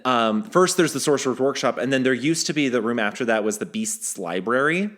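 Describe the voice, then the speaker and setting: A man hosts a casual podcast and reads out the latest news. His voice sits at an ordinary middle pitch, and he talks in a loose, teasing way, often trailing off mid-thought and starting again. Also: lively intonation, brisk, a bit bright. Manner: conversational, teasing, trails off and restarts. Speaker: podcast host.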